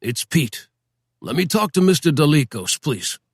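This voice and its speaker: A man's voice: fairly high pitch, very animated, moderate pace, breathy edge, no echo, studio quality, exaggerated voice. A man voicing an anxious small-time gangster who rambles on the phone. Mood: worried. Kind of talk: audiobook character voice.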